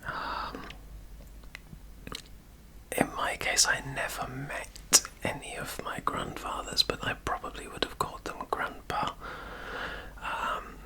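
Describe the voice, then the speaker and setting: Slow-whispering man with English-Welsh accent. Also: poor audio. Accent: mixed English and Welsh. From England. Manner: soft unvoiced whisper, hesitant with many ums. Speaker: guest on girlfriend's ASMR channel. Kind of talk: whispered ASMR accent tag.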